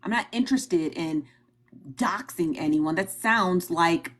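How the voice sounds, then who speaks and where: A woman's voice: fairly low pitch, slow pace, fairly bright tone. A woman with a Midwestern accent hosting a live stream, talking emphatically and directly to her viewers and repeating her points. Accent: Midwestern American. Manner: emphatic, repetitive, talks straight to her viewers. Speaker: livestream host. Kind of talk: casual livestream commentary.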